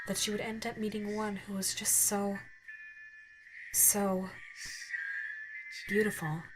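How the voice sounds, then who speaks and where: A woman narrates a romantic second-person audio story in a soft, dreamy manner. Her voice sits at a medium pitch, with music clearly playing underneath. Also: rough recording. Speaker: narrator and voice actress. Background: instrumental music.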